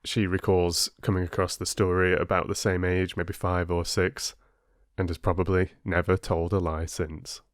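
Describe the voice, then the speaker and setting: A man working as an audiobook narrator, reading stories and addressing listeners with measured, deliberate phrasing. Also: low voice, level tone, dry recording, clean studio sound. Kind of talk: expressive storybook reading and listener chat.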